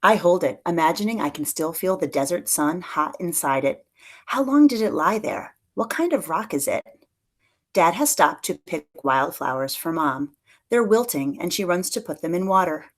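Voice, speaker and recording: Lower-pitched woman reading a picture book aloud. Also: normal speed. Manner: expressive storytelling, acts out dialogue lightly. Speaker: teacher reading aloud to pupils.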